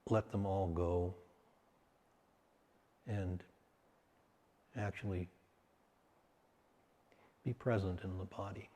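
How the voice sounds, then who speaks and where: A man leading a guided meditation as its teacher, soothing and unhurried, speaking in short phrases with long pauses. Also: sits low, flat delivery, dark tone. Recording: hall-like long reverb.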